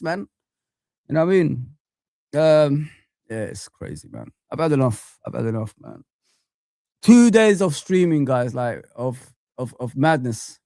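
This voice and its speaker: A man's voice: fairly high pitch, darkish tone, dry recording, clean studio sound. A young man hosting a live fan chat stream, ranting heatedly and repeating himself.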